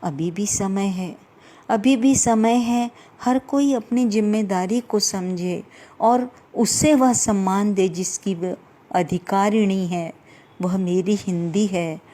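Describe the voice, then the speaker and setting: A woman reciting a poem with slow, impassioned delivery at a Hindi day event. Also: mid-range voice, warm tone. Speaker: poetry reciter.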